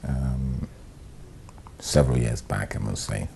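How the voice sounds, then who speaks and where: Middle-aged Nigerian man, very low voice, warm dark tone, lapel mic, hesitant interview talk. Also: takes it slowly, gravelly, husky. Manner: relaxed, hesitant with many ums and you knows. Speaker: actor. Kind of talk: sit-down interview monologue. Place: bright studio room, indoors.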